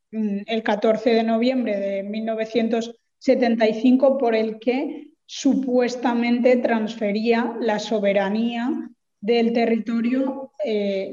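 A woman giving explanatory answers as a political interview guest, speaking in a measured, hesitant way with formal wording. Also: on the high side, mellow. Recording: reverberant hall, muffled sound.

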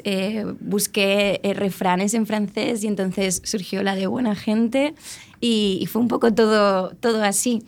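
A woman's voice: mid-range voice, creaky, a little mellow. A young woman from Alicante, a musician being interviewed, talking in a chatty, rambling way with many fillers and restarts. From Spain, Alicante. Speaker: musician guest. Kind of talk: casual interview chat.

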